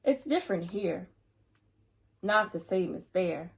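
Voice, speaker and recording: A woman's voice: lowish voice, expressive, takes it slowly, vocal fry. A woman hosting a children's read-aloud, chatting playfully to young listeners and reading aloud.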